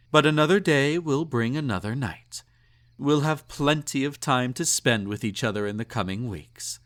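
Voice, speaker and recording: A man acts out a haughty emperor in an intimate audio roleplay. He speaks at a leisurely, drawn-out pace in a mid-pitched male voice, savoring ornate phrases. His manner is condescending and possessive, teasing the listener like an indulged pet. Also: natural voice. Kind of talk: intimate roleplay audio drama, second-person monologue.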